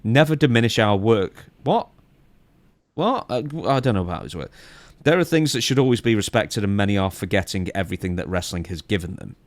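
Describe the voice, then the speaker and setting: A man's voice: on the low side, lively intonation, brisk, no room sound. A man hosting a podcast, reading out news items and chatting about them in a wry, conversational way. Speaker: podcast host.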